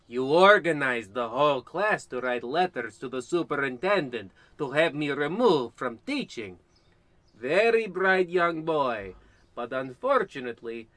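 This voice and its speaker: A man's voice: mid-range voice, bright, forceful tone, no room sound. A man playing a muddled, theatrical old woman, a rambling former teacher, in a parody of old radio drama.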